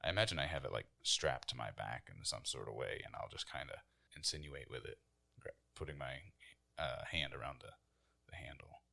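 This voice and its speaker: A man's voice: a bass voice, animated, moderate pace, vocal fry, sharp tone. A man playing a tabletop game, casually describing what his character does.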